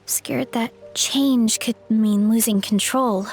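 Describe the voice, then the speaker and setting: High-voiced, earnest young heroine. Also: female voice, fairly even intonation, takes it slowly, faint music behind. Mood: shy, confiding. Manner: soft-hearted, hesitant, earnest. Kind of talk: video game character acting.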